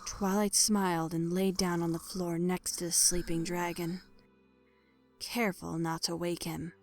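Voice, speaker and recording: A woman reading a scripted dramatic story as narrator, measured and expressive in her delivery. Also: on the low side, soft background music.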